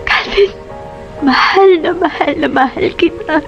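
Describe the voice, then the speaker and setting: Woman acting gentle mother, muffled over music. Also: high voice, unhurried. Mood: tearful, grateful. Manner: soft, emotional, reassuring. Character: gentle, apologetic, loving mother of young child. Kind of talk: Tagalog radio drama acting.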